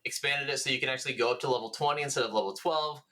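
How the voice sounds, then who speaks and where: A man's voice: medium pitch, rapid-fire, pushed and bright. A man co-hosting a gaming podcast, talking in a casual, rambling, enthusiastic way with the odd swear word. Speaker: podcast co-host.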